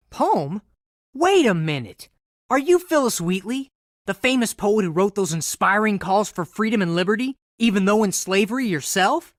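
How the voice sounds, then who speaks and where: An actor playing an earnest, eager young colonial American reporter in a game, speaking clearly in an explanatory manner. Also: male voice, high-pitched, a bit bright, dry recording, clean studio sound, exaggerated voice. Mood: eager, curious. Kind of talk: scripted game character dialogue.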